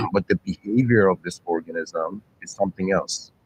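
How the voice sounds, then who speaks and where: A man in his thirties giving a reflective, rambling philosophical monologue, full of fillers and restarts, often clearing his throat and speaking straight to his listeners. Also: low voice, normal speed, rough recording. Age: 30s. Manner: earnest, addresses listeners directly. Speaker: channel host.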